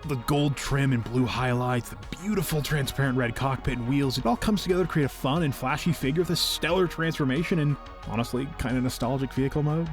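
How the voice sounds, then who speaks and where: A man narrating an enthusiastic scripted review as a video host, with a playful, whimsical and expressive delivery. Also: medium pitch, brisk. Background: background music.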